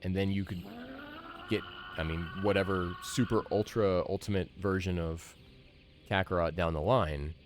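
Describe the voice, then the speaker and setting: Low-voiced guy streaming a game, just chatting and thinking out loud, close mic. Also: steady tone, moderate pace, faint music behind. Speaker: game streamer, podcast host. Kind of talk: casual gameplay commentary.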